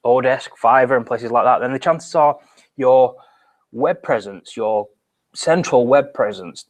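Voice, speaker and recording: British man hosting a live webinar. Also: medium pitch. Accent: British English. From Britain. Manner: energetic, talks with his hands, frequent restarts. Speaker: webinar host. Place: small bright office, indoors.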